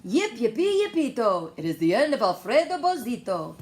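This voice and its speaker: A woman's voice: fairly high pitch, very animated, moderate pace, darkish tone, pitched above the performer's own voice. A woman voicing the dramatic leader of a tiny Chihuahua bandit gang in a children's storybook, declaiming theatrically. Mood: jubilant. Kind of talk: children's storybook read-aloud. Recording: some background noise.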